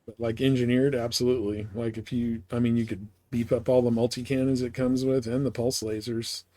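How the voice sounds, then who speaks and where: A man's voice: mid-range voice, level tone, brisk, dark tone. A man livestreaming a video game, chatting casually and rambling as he thinks aloud while playing. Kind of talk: casual gaming stream chat.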